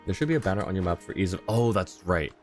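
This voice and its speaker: Deep-voiced man chatting on a game stream. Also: lively intonation, fast talker. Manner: conversational, reading chat comments aloud. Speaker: game livestreamer. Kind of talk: casual livestream chat, answering viewers. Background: faint game music.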